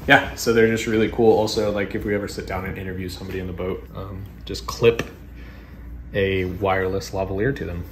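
A man in his thirties with a lowish voice talks through his video gear in a relaxed, jokey way, poking fun at himself. The room rings with echo and a clear background hiss sits under the voice. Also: brisk. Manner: jokey, self-mocking, laughs often. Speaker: vlog host. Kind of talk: casual gear overview. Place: wood-paneled living room indoors. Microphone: clip-on lapel mic.

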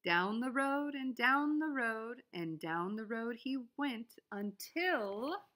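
A woman reading a children's storybook aloud, with expressive, deliberate, sing-song phrasing. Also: a little high. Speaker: storybook reader.

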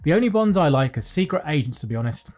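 A British man in his thirties presenting a scripted finance news roundup from a small home studio, reading headlines briskly and dropping wry jokes between them. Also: a little high, sing-song, darkish tone. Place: small home studio with desk and lights, indoors.

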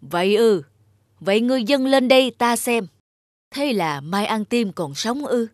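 A woman's voice: a little high, animated, a little slow, no room sound, studio quality. Woman voicing an imperious old cartoon king. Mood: commanding. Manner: imperious, commanding. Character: proud, stern old king, quick to anger. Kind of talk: cartoon fairy tale character voice.

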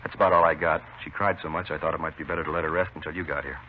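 Brisk male beat cop, old radio drama; low, racing delivery, narrow hissy sound. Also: fairly even intonation. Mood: brisk, dutiful. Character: brisk, dutiful male patrol officer. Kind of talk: 1950s radio police drama. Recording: tinny, hissy 1950s radio recording.

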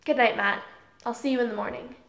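A woman playing an earnest, principled student girl in a scripted drama, explaining things in a measured, preachy way. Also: fairly high pitch, a bit bright. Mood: calm, earnest. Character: earnest, tolerant, principled transgender girl student named Holly. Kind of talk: scripted audio drama dialogue, speech-like monologue.

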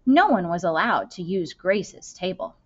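A woman in her thirties reading a children's novel aloud expressively, with deliberate phrasing and dramatic stresses. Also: lowish voice, animated, slightly rough. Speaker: school librarian reading aloud. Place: indoor room. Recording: dull, lo-fi webcam-style sound.

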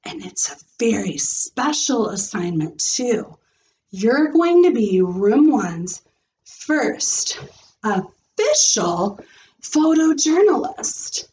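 A woman's voice: on the high side, very animated, darkish tone, a little hiss or hum, low-quality recording. Kindly, encouraging schoolteacher voiced by a woman. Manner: explanatory, measured. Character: kindly encouraging male schoolteacher, patient and upbeat. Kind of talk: expressive storybook read-aloud.

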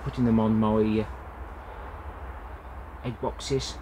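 A man's voice: fairly low pitch, flat delivery, takes it slowly, a little mellow, a little hiss or hum, low-quality recording. A man talking casually through an allotment vlog outdoors, with plenty of "uh" fillers. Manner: plain-spoken, many "uh" fillers. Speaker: allotment gardening vlogger. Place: outdoor allotment, in and around a greenhouse.

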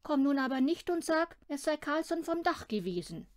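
A woman voicing a gentle but firm mother in a children's storybook, patient and questioning. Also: high-pitched, sing-song, moderate pace, no echo, clean studio sound, pitched above the performer's own voice.

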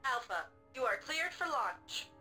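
A woman's voice: sits high, very animated, unhurried. An actress playing a composed female flight controller, orderly and lightly wry, in a space game. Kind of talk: radio announcement in a video game. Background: music.

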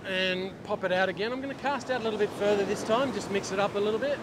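A man's voice: high-pitched, quick pace. A middle-aged Australian man talking casually to camera as a fishing instructor, explaining as he goes. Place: open ocean beach, outdoors. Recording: outdoor wind and surf noise. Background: waves, surf and wind.

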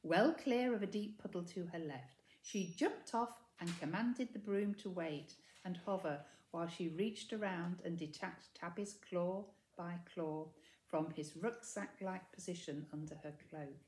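A woman's voice: lowish voice, a little slow, a little mellow. A woman in her sixties reading a children's story expressively, recorded indoors at a short distance from the camera. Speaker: storybook reader. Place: indoors, living room by a window. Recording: echoey room.

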